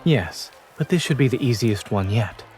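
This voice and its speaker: An actor playing a calm, principled male doctor in a video game, with a composed, measured and deadpan delivery. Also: mid-range voice, soft background music, close to an everyday voice. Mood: calm, dry.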